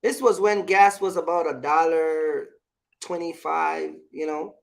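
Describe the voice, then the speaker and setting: A man's voice: a little high, steady tone, a little slow, gravelly. A man talking casually as a vlog host, giving business advice and personal stories in an emphatic, repetitive way, often checking in with the listener.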